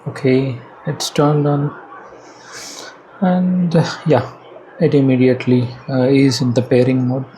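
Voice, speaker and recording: Slow, halting Indian man, mellow voice. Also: medium pitch, steady tone. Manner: halting, hesitant, thinking aloud. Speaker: product reviewer. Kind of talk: casual unboxing and installation commentary. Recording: clearly noisy background ambience.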